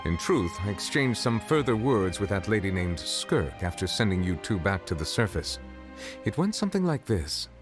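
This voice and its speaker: A man voicing a dignified, reserved ancient dragon statesman in a video game, formal and measured. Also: on the low side, big pitch swings. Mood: thoughtful. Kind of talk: video game character acting. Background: faint game music.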